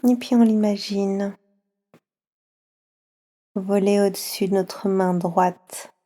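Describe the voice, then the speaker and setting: Slow, soothing woman guiding relaxation. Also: mid-range voice, steady tone. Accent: French. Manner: soft, slow, soothing, with pauses. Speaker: yoga nidra guide for children.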